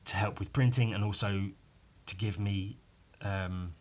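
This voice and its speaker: A man with a somewhat low, croaky voice talks solo in a loose, rambling podcast style. He is informal and swears freely, often undercutting himself or ending a thought with a little tag question. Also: steady tone, a little slow. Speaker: podcast host and illustrator. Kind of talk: casual rambling solo chat.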